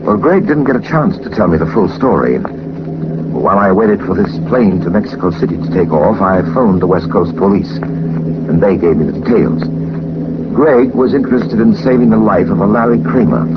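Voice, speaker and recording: A muffled, noisy old radio recording carries a man playing a composed gentleman sleuth. His voice is low, with formal, measured phrasing and courteous manners in the style of 1940s radio drama. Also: natural voice. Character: composed, methodical gentleman sleuth, a former captain. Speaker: lead actor of a detective radio serial.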